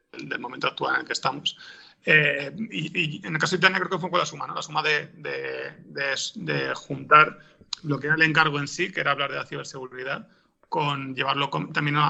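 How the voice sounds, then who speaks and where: A man with a raspy, gravelly voice, answering interview questions in a hesitant way, as if thinking aloud. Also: a little high, bright, forceful tone, highs cut off. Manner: hesitant, thinking aloud, frequent throat clearing. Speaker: interviewed podcast scriptwriter.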